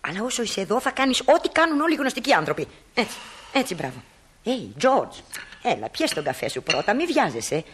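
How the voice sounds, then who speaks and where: A woman's voice: medium pitch, sing-song, noisy background, close to an everyday voice. A woman playing a practical, fussing middle-aged mother and wife in a radio play, with a matter-of-fact, scolding manner. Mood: bossy.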